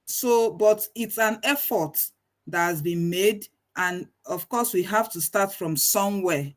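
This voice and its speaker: A woman's voice: medium pitch, unhurried. Nigerian woman giving a methodical lecture. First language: Igbo. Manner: methodical, lists items one by one with frequent restarts. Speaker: webinar presenter. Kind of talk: technical lecture.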